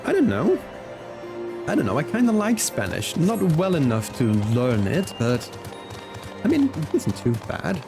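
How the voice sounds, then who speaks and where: A young Flemish man, a native Dutch speaker, streaming a game and chatting with viewers, animated and laughing often. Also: a little slow, creaky, over music. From Belgium. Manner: animated, laughs often, excitable pitch jumps. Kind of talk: casual live-stream chat reading comments.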